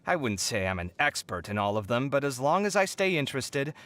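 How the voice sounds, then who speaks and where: A man voicing a proud, flamboyant young artist in a video game, talking in an expressive, theatrical way. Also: mid-range voice, a little fast, dry recording. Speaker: game character. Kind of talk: game character voice lines.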